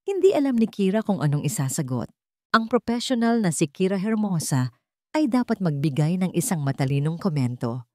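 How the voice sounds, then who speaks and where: Mellow, expressive woman storyteller. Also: on the low side, moderate pace, dry recording, clean studio sound. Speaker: story narrator. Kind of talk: scripted dramatic narration of a romance story.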